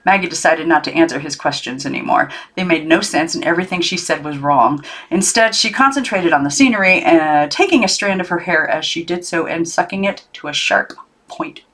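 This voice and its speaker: A woman reading a children's novel aloud as an audiobook narrator, in an expressive storybook style. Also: fairly low pitch, a little fast. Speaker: audiobook reader.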